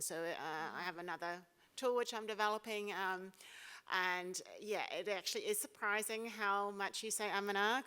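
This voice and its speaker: A woman's voice: medium pitch, sharp tone, clean tone. A woman answering informally as the technical expert on a panel, explaining how things work. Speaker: panel expert, app developer.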